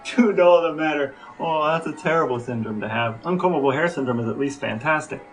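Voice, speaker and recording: A man's voice: on the high side, a little fast, soft background music. A man co-hosting a podcast, chatting casually and posing questions to the people with him. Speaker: podcast co-host.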